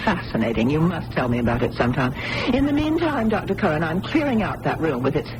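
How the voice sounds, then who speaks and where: A woman's voice: low voice, very animated, quick pace. A woman playing a brash, haughty young woman in a 1980s radio drama, on a noisy old broadcast recording. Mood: breezy, haughty.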